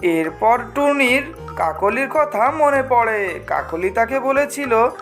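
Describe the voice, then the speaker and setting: A woman's voice: on the high side, expressive, slow pace, soft background music. A woman narrating a scripted children's cartoon story in the third person.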